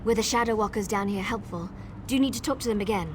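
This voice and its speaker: Earnest, pleading elf woman played by an actress, medium pitch, fantasy game quest-giver, noisy ambient backdrop. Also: fast, natural voice. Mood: urgent. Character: earnest Wood Elf woman, anxious for her people. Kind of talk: video game quest dialogue.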